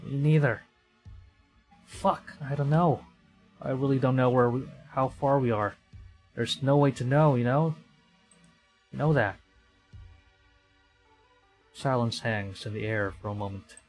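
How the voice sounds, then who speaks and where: A man livestreaming a visual novel, reading it aloud with excitable, dramatic delivery and chatty casual asides. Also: mid-range voice, unhurried, long pauses. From Philippines. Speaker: streamer. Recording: music behind the voice. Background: game music.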